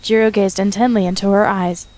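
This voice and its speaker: A woman's voice: medium pitch, fairly even intonation, brisk, a little mellow. Woman narrating a dramatic story.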